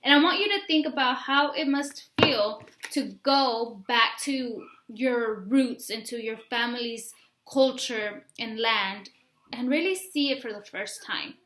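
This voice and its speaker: A woman reading a picture book aloud in an expressive, instructive way, like a teacher addressing young listeners. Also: on the high side, unhurried, slight background noise. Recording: roomy, echoey room sound.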